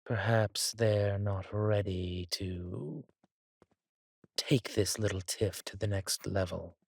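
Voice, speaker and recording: A man playing an arrogant, petulant villain king in an audio drama, with a drawling, theatrical, sardonic delivery and a breathy, husky voice. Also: lowish voice, takes it slowly, dry recording, studio quality, full character voice. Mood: petulant, indignant. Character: arrogant, petulant villain king, cruel yet doting on his consort.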